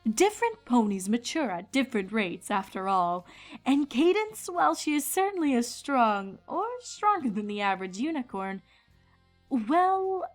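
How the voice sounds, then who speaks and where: A woman voicing a regal elder pony princess and mentor from a storybook, speaking in a measured, formal, diplomatic way. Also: a little high, sing-song, soft background music. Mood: awkward, tactful.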